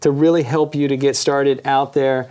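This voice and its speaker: A man hosting a webinar, giving an upbeat, persuasive sales pitch. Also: level tone, moderate pace, a little mellow, smooth. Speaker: webinar host.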